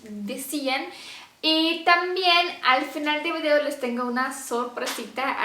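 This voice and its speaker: A woman's voice: high voice, bright, forceful tone, slight background noise. A young woman from Romania, chatting casually to camera in Spanish and laughing often. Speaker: vlogger. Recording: echoey room.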